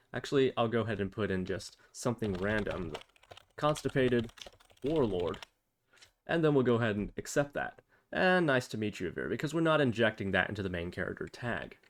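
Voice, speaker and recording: Man narrating a tutorial with lively, swooping pitch. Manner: explanatory, thinking aloud while demonstrating. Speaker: programming tutorial presenter. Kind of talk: casual step-by-step software tutorial narration.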